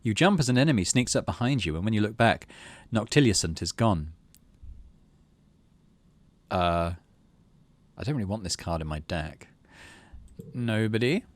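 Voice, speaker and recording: A man hosting a game let's play, narrating story text and musing aloud in casual, understated commentary. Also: lowish voice. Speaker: gaming channel host.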